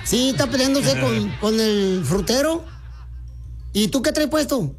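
A man's voice: very high-pitched, lively intonation, a little slow, faint music behind. A man making a prank phone call, teasing and playing dumb with silly answers. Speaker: prank caller.

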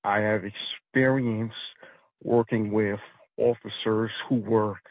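A man's voice: on the low side, fairly even intonation, no echo. Male caller on a tinny phone line. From New York City. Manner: measured, matter-of-fact. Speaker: caller, retired federal officer. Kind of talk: phone-in commentary.

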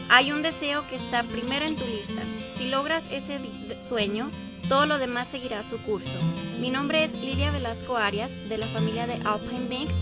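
Woman, scripted ad read, soft reassuring tone, somewhat high voice, guitar music behind. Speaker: bank ad spokeswoman.

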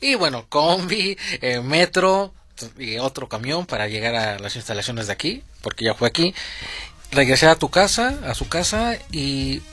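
A man with a Mexico City Mexican accent, working as an informal radio traffic and weather reporter in a studio, a little halting and repeating words. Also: medium pitch, lively intonation, fairly bright tone. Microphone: studio microphone.

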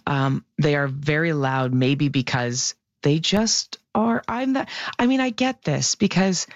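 A woman science columnist explaining research in a lively, animated radio chat, with a naturally loud voice. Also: low-pitched, unhurried, no room sound, muffled recording.

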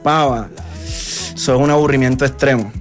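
A man from Colombia's Caribbean coast with a coastal accent, a guest on a radio show, talking in a relaxed, playful way and explaining with examples. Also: mid-range voice, a little fast, soft background music. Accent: Colombian coastal (costeño). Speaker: guest musician. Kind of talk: casual radio interview.

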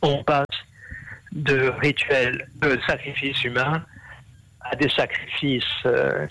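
A man's voice: medium pitch, flat delivery, takes it slowly, gravelly, crowd noise, poor audio. A man explaining ideas at length in an interview, with many fillers and restarts. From half Brazilian. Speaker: interview guest, scholar.

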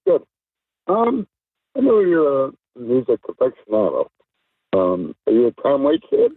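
A man with a raspy voice calling into a radio show, chatting in a casual, friendly way. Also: medium pitch, huge pitch swings, a little slow, dry recording. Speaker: radio caller. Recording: tinny phone line.

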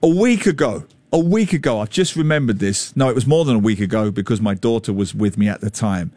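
A man in his fifties hosting a radio talk show, telling stories in a chatty, jokey way with a slightly loud voice. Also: fairly low pitch, brisk, dry recording. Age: 50s. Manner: chatty, jokey, animated storytelling. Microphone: studio microphone.

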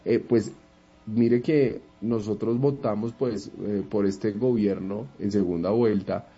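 Male Bogotá commentator, dark husky low voice, patient explainer, lo-fi radio sound. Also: fairly even intonation. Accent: Bogotá Spanish. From Bogotá, Colombia. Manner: measured, explanatory, many fillers. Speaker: political commentator. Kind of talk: radio panel discussion.

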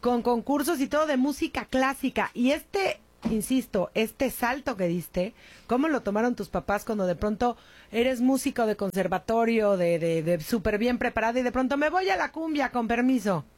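Casting: high-pitched woman hosting a talk show, gushing and chatty. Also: moderate pace, darkish tone. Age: adult with a young daughter. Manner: effusive, chatty, repeats "señores, señores". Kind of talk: lively talk show interview.

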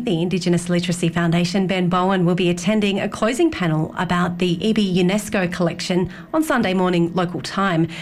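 A woman delivering a scripted radio news report in a measured, formal way. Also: medium pitch.

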